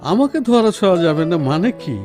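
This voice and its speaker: A man acting an affectionate, well-off husband in a radio play, talking tenderly and gently persuading his sensitive wife. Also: sits high, big pitch swings, normal speed. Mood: amused, reassuring. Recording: music under the dialogue. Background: background music.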